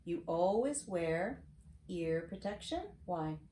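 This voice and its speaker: A middle-aged American woman reading a storybook aloud to a child, with casual asides and questions, in an expressive, teacherly manner. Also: on the low side, slow. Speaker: host reading to her granddaughter. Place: home library, seated at a desk. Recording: roomy echo, clear background noise.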